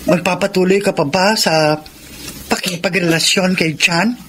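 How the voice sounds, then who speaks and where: A man's voice: sits high, steady tone, unhurried, bright, forceful tone. A man playing a concerned, doubting young confidant in a Filipino radio drama. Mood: skeptical, probing. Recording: clearly noisy background ambience.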